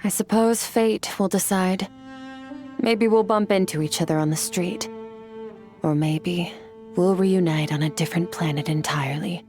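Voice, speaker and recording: Woman doing an ancient calm swordswoman, deep-set low voice, solemn, philosophical, game voice acting. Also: unhurried, soft background music, close to an everyday voice. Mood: gentle.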